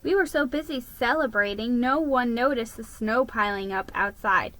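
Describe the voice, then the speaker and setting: A woman giving a deliberate, theatrical reading of a children's story as its narrator. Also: a little high, a bit bright. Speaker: storybook reader.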